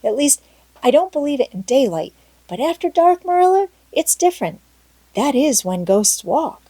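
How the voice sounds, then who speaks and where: An excitable young girl from a storybook, acted by a woman, chattering with breathless drama and vivid imagination. Her voice leaps upward from a soft, mellow base. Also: sits high, normal speed, dry recording, voice pushed up. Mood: faltering, earnest. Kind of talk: storybook character voice in audiobook.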